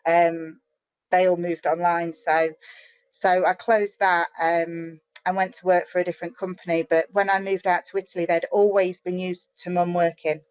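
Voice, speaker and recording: A woman's voice: lowish voice, normal speed, muffled recording. Chatty British woman on a video call. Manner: chatty, animated, many restarts and fillers. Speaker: guest, online marketer. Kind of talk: casual interview chat.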